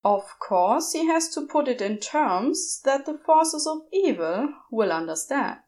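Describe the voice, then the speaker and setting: A woman reading a story aloud as narrator, measured and steady. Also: high voice. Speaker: podfic narrator.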